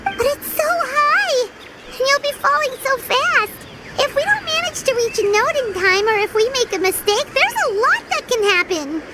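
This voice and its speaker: A woman voicing a tiny, childlike fairy companion in a video game, squeaky, exclamatory and wide-eyed. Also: lively intonation, moderate pace, heavy background noise. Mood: worried. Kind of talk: cartoonish video game voice acting.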